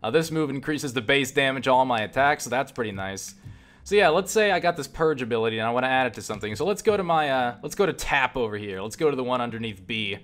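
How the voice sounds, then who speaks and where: A man giving casual, unscripted video game commentary, enthusiastic and sweary, often halting and repeating words as he rambles. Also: mid-range voice, animated, a bit bright. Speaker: video game reviewer and player.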